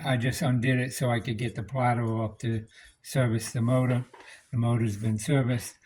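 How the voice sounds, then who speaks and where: A man's voice: medium pitch, a little mellow. Casual man talking through a hands-on repair. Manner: plain-spoken, practical, thinking aloud. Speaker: electronics restorer presenting a repair demonstration. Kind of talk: casual unscripted demonstration.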